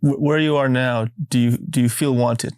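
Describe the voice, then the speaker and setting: A man hosting a podcast, asking curious questions quickly, often restarting his words. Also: mid-range voice, fairly even intonation, a little mellow, smooth, no room sound, clean studio sound. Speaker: podcast host. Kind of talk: interviewing.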